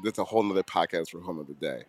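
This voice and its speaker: A man co-hosting a podcast in casual chat. His voice is hoarse and gravelly, and he talks haltingly, repeating words and chuckling. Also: deep voice, dry recording.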